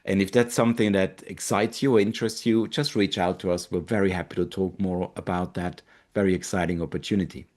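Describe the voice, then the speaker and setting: A man in his fifties from Austria, with an Austrian German accent, hosting a live online webinar in an earnest, gentle way with fillers and pauses. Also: low voice, fairly even intonation. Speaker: webinar host, marketing director.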